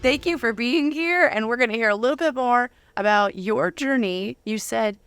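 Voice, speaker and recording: A woman's voice: on the high side, normal speed, fairly bright tone, smooth, no room sound. A woman hosting a conversational interview, affirming her guest and encouraging them along. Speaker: interview host. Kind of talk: conversational talk show hosting.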